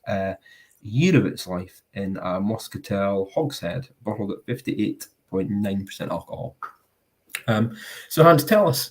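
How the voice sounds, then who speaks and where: A man with a low, deep voice hosts a live tasting online. He talks in an easygoing, self-mocking way and fills his pauses with plenty of ums and uhs. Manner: self-deprecating, hesitant with many ums. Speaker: host of a live whisky tasting. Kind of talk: casual hosting chat.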